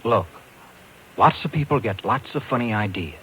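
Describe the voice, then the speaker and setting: Insistent showbiz agent, American, wide-swinging delivery, hard-boiled radio narrator, faint vintage hiss. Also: male voice, lowish voice, animated, normal speed, sharp tone, low-quality recording. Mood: skeptical, calming. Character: determined, brisk New York talent agent man, narrator. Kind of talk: 1950s radio drama acting and narration. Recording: hissy old radio recording.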